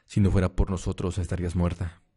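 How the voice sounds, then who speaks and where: A man's voice: low voice, level tone, fast, warm tone, breathy edge. A man playing a grumpy, scornful young supernatural fighter in an amateur anime-style audio roleplay, curt and dismissive.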